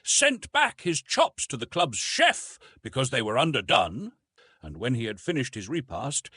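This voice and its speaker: A man narrates a classic adventure story with lively, swooping intonation. His voice sits at a medium pitch and has a husky grain. The delivery is measured and dramatic, recorded close and dry. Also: no room sound. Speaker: audiobook narrator.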